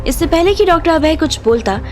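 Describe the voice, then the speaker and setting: A woman's voice: extremely high pitch, animated, brisk. A woman reading scripted narration as the storyteller of an audio drama. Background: background music.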